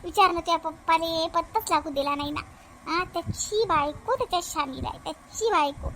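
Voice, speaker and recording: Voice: very high-pitched, moderate pace, fairly bright tone. A cartoon voice playing an emphatic, quarrelsome village housewife in a Varhadi Marathi accent from Vidarbha, repeating words in comedy dialogue. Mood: aggrieved. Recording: noticeable background noise.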